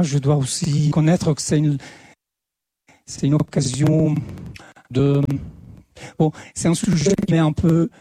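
A man with an Italian accent, a native Italian speaker, giving a talk at a conference in French, halting often and repeating words as he searches for them. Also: fairly high pitch, warm tone, slightly rough, dry recording. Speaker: lecturer.

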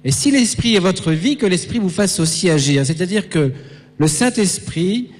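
A man's voice: high-pitched, lively intonation, moderate pace. A man giving a conversational, teaching sermon, checking with listeners through short questions. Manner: didactic, punctuates points with checking questions. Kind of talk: sermon to a young audience, conversational. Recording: reverberant hall.

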